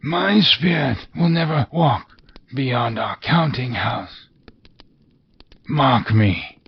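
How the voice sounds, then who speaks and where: A man voicing the wailing, chained ghost of an old miser, with a high quavering voice that rises to cries. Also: very slow, gravelly, husky, full character voice. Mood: mournful wailing. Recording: dull lo-fi recording with faint hiss.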